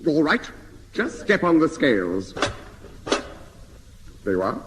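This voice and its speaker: An actor playing a blunt, exasperated male doctor in a vintage radio sitcom, brisk and matter-of-fact. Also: on the high side, very animated. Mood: brisk, bossy. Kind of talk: 1950s British radio sitcom acting. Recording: noisy old radio recording, reverberant hall.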